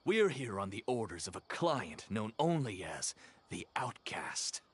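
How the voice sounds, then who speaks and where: A man's voice: on the low side, big pitch swings, measured pace, breathy edge, heavily acted. A man voicing a cocky, menacing mercenary gang leader in a video game, theatrical, boastful and taunting. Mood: boastful, scornful. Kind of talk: video game villain dialogue.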